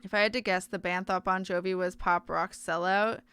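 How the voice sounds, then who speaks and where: A woman's voice: lowish voice, fairly even intonation, normal speed, a bit bright, smooth. A woman streaming a visual novel, reading the text aloud with casual asides and often stumbling and correcting herself.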